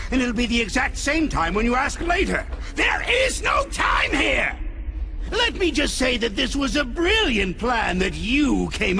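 Mad scientist game villain, pompous, high-pitched for a man, gravelly, theatrical bluster. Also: sharp tone, full character voice. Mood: grumbling. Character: pompous, bickering middle-aged male mad scientist villain. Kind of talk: video game cutscene acting.

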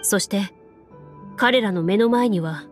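An actress playing a composed woman duelist who narrates a game adventure in a measured, formal, dramatic way. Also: mid-range voice, lightly acted. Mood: solemn, measured. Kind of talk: Japanese anime game narration. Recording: game music behind.

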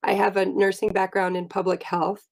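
A woman's voice: mid-range voice, level tone, normal speed, gravelly. A woman with a cold, speaking on a video call as a student on a panel. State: has a cold. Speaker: nursing student. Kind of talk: panel talk.

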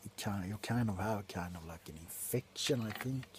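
A middle-aged man playing a grumpy, drunk doctor in an ASMR roleplay, grumbling sardonically close to the mic between soft talk and whispers. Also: on the low side, moderate pace, noisy background, lightly acted. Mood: grumbling, sardonic. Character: grumpy, drunk male doctor on a Christmas house call. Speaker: ASMR performer. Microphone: very close to the face.

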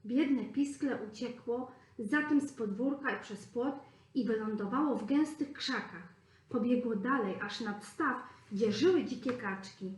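Woman reading a kids fairy tale, high sing-song voice, echoey room. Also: measured pace. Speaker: storyteller at a preschool. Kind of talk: fairy tale read-aloud for children.

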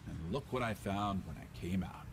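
An actor playing a jaded, tough older enforcer in a noir game, speaking in a terse, world-weary way. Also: male voice, low-pitched, moderate pace, noisy background, close to an everyday voice. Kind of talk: hardboiled noir game narration and dialogue.

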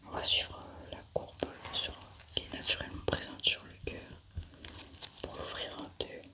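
A young adult woman in a hushed, unhurried ASMR roleplay, methodically narrating each step as a scientist. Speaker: ASMR creator playing an alien autopsy scientist.

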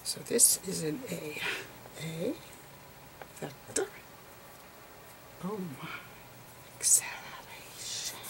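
A woman voicing a gentle, eccentric old male physicist, lecturing haltingly in a murmured ASMR role-play. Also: low-pitched, expressive, creaky, full character voice, rough recording. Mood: patient, explaining. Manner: halting, whispery, murmured explanations with quaint formal phrasing.

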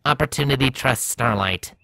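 A man's voice: mid-range voice, normal speed, creaky, sharp tone, no room sound, heavily acted. A little Mars rover robot, acted by a man, blunt and trusting. It talks in clipped, broken sentences like a childlike machine in a storybook.

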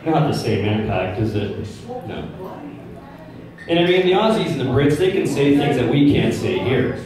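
A man's voice: medium pitch, sing-song, moderate pace, recorded from a distance. A middle-aged man born in Czechoslovakia, without an accent, doing a crude stand-up set in a casual, conversational way. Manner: conversational, crude, asks the crowd "right?". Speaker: stand-up comedian. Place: small pub comedy stage with audience. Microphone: handheld mic, filmed from far back. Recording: roomy pub sound from afar. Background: loud crowd laughter.

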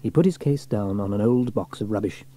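Male children's audiobook narrator: expressive, husky, very mellow delivery with lively pitch movement. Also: a little fast. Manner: expressive storytelling. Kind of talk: scripted children's story narration.

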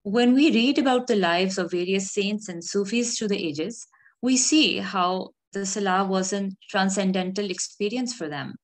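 A woman gives a sermon in a calm, earnest manner, reflective and unhurried in tone. Her voice sits at a middling pitch for a woman. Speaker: khutbah speaker. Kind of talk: measured sermon.

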